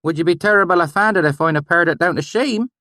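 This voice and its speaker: A man's voice: high voice, fast talker, bright, forceful tone, no echo, clean studio sound, pitched above the performer's own voice. A man voicing a young man who puts on a thick rural dialect to blend in. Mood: folksy. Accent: put-on rural backwoods dialect.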